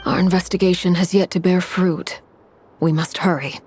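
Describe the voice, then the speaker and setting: A woman's voice: on the low side, steady tone, normal speed, a little mellow, faint music behind, natural voice. A woman playing a serious, resolute young woman in an anime game, speaking earnestly and formally. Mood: urgent, grave. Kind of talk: anime game dub acting.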